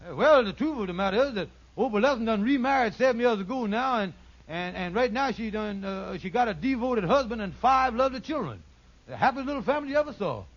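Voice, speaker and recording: An actor playing a scheming, fast-talking con man in a 1940s radio sitcom, with blustering, stammering, folksy ungrammatical speech. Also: high-pitched, expressive. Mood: smooth-talking. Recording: muffled vintage radio recording.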